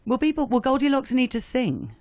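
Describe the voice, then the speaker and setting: Lively-pitched woman radio interviewer. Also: brisk, no room sound, studio quality. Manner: conversational, informal.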